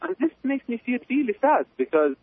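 A man with an Iraqi Arabic accent, a guest on a radio show, speaking haltingly with many fillers and restarts. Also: very high-pitched, very animated, slight background noise. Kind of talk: phone-in interview. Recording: tinny phone line.

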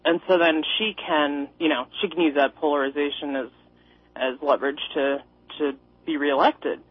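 Rambling caller with a husky voice. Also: female voice, low voice, fairly even intonation, normal speed. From Minnesota. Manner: laughing, rambling, sarcastic. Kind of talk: call-in radio chat. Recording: dry, muffled phone-in audio.

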